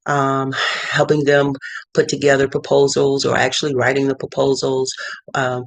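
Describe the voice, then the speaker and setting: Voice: mid-range voice, completely flat delivery, normal speed, gravelly, dry recording. Rambling middle-aged artist, dry close sound. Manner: rambling, many fillers and restarts. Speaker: visual artist and art consultant. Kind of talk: casual talking-head advice. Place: cluttered home studio.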